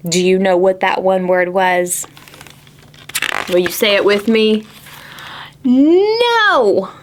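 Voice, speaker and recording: A woman hosting a children's storytime, reading aloud in an animated, exaggerated way and putting questions to young listeners. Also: medium pitch, measured pace, slight background noise. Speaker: children's librarian, storytime host. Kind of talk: children's picture-book read-aloud and singalong.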